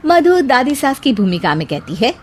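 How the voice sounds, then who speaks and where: A woman's voice: high-pitched, very animated, normal speed. A woman reading scripted cartoon story narration as the storyteller.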